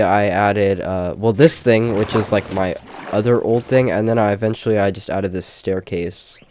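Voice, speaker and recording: A man's voice: on the low side, darkish tone. Stuffy young man rambling through a game tour. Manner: rambling, lots of fillers. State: stuffy, congested. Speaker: gaming channel host. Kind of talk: casual game tour commentary. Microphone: desk mic with face cam.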